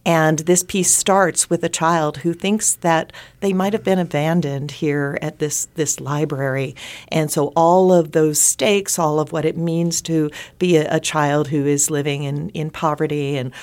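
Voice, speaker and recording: A woman's voice: low voice, steady tone, a little slow, darkish tone. A woman in a conversational interview about theater, explaining thoughtfully in long, building sentences.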